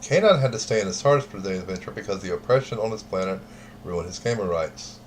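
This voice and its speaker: Man, lowish voice, deadpan card reader, casual game chat, light hiss. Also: fast talker. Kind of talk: casual chat, reading cards aloud.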